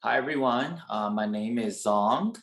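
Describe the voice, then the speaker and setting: A man's voice: mid-range voice, lively intonation, unhurried, gravelly, a bit bright. A man in his late twenties from Wisconsin, introducing himself earnestly on a video call as a staff member of a community group. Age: 20s. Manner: earnest, searching for words. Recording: roomy echo.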